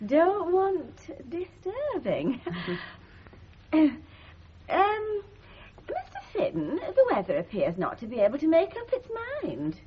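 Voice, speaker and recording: Prim, high-voiced matron. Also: female voice, wildly swooping pitch, slow, heavily acted. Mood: embarrassed politeness. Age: middle-aged. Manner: polite, genteel, hesitant. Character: prim, chatty, easily embarrassed middle-aged mother-in-law. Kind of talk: 1970s radio comedy drama acting. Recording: muffled, slightly hissy 1970s radio recording.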